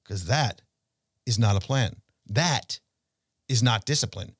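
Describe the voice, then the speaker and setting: A man hosting a financial talk show, speaking conversationally and emphatically to listeners, with rhetorical questions and deliberate pauses. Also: mid-range voice, slightly rough, dry recording, clean studio sound. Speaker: financial show host and portfolio manager. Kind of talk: conversational investment commentary addressed to listeners.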